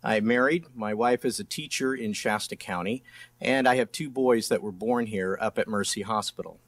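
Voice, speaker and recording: A man's voice: lowish voice, moderate pace, a bit bright. A middle-aged man speaking as a candidate and district attorney in a debate, plain-spoken and often restarting his phrases. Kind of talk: political debate speech.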